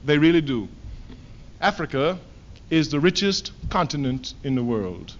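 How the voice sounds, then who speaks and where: A man from Trinidad giving a fiery political speech, emphatic and repeating his phrases, swinging from loud declarations to low asides. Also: animated, unhurried, a little hiss or hum. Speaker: political speaker. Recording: dull lo-fi archive recording.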